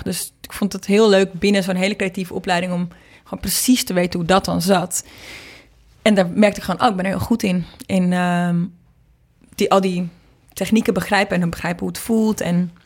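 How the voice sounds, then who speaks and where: A woman's voice: mid-range voice, a little mellow. A young woman with a Dutch accent from the Netherlands speaks as a guest in a casual interview. Her voice sits in a middle register, and she talks in a chatty, informal way, often interrupting herself to rephrase. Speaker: interview guest, singer and singing teacher.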